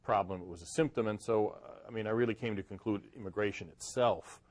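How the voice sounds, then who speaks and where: A man's voice: lowish voice, quick pace. A man from Boston and Cleveland, a guest author answering interview questions thoughtfully, with frequent fillers and restarts. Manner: thoughtful, hesitant with many fillers.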